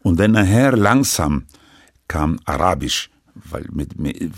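An older man who grew up speaking French in Lebanon, recounting his life story in halting, broken sentences full of restarts. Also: deep voice, animated, no room sound, studio quality. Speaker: interviewee.

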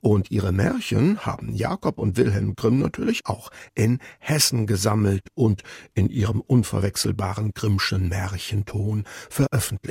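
A man with a very gravelly, husky voice presenting a scripted radio feature in a wry, wordy manner. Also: fairly low pitch, darkish tone, no echo, clean studio sound. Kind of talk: scripted narration, feature moderation.

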